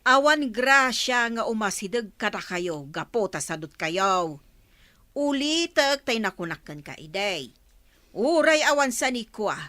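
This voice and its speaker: A woman's voice: fairly high pitch, big pitch swings, measured pace, sharp tone, no room sound, heavily acted. A woman with an Ilocano accent playing a preachy, scolding village mother in a radio comedy drama. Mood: admonishing. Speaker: voice actor.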